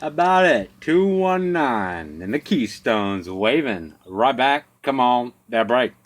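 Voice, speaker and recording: A man's voice: medium pitch, lively intonation, takes it slowly. A man working as a CB radio operator, calling out call signs and slang in clipped, repetitive radio patter. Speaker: CB radio operator making contacts. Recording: noisy CB radio, static behind. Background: radio static and noise.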